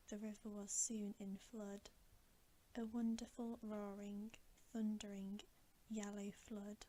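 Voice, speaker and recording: A woman reading a bedtime story as ASMR in a soft, breathy whisper with a gentle, hushed delivery. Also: medium pitch, poor audio. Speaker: ASMR reader.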